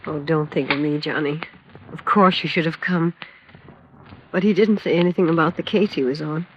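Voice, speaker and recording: An actress playing a bewildered, grieving American widow in a 1950s radio drama, speaking in a halting, emotional way. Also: female voice, sits low, a little hiss or hum, lightly acted. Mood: subdued grief. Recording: dull, lo-fi old radio recording.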